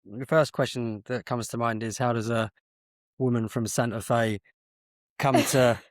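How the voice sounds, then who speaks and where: A man's voice: mid-range voice, steady tone, measured pace, no echo, studio quality. A man hosting a podcast, speaking as a conversational interviewer. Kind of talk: podcast intro and casual interview chat.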